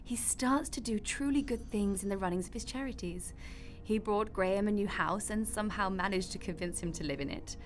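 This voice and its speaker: A woman's voice: mid-range voice, brisk, lightly acted. Woman playing a matter-of-fact God, over music. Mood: breezy, wry. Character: God as a breezy, matter-of-fact mother, wryly bureaucratic. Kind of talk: radio drama acting.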